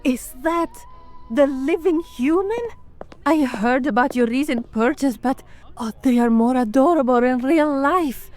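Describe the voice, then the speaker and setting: Woman playing a high-voiced, dramatic vampire noblewoman. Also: unhurried, darkish tone, faint music behind. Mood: gushing delight. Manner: theatrical, emphatic. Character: obsessive, dramatic vampire noblewoman, gushing fan. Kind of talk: fantasy ASMR roleplay.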